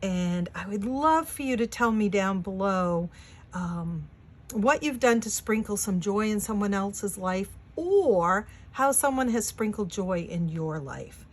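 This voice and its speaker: Slow-talking New England woman in her sixties. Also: mid-range voice, expressive. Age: 60s. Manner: chatty, meandering, many fillers. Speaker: vlogger and Etsy seller. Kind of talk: casual chat to camera. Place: home, at a wooden table.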